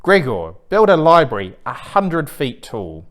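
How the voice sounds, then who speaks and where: A man acts out a restless young king from a children's storybook, greedy and full of himself. He speaks in emphatic, grandiose demands, with pitch that swings about. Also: huge pitch swings, measured pace. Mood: demanding, excited.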